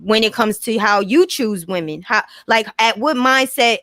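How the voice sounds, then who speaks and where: A woman with a fairly high voice hosts a casual interview. Her phrasing is informal and exploratory, and the sound is dry with very little room in it. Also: normal speed, a bit bright, dry recording. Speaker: host interviewing a guest.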